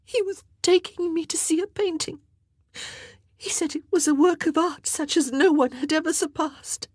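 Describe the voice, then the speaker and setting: A distraught young maid of honor speaks in a high, tearful voice for an audiobook. She gasps and falters through her sobs, full of shame. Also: no room sound, studio quality. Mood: sobbing, distressed. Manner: sobbing, gasping, halting. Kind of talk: audiobook character acting.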